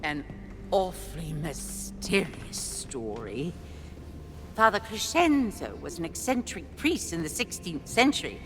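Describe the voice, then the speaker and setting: A woman's voice: fairly low pitch, big pitch swings, a little slow. A woman playing a poised, intriguing nun in a cinematic video game. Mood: mysterious, teasing. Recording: long hall reverb, faint ambient noise.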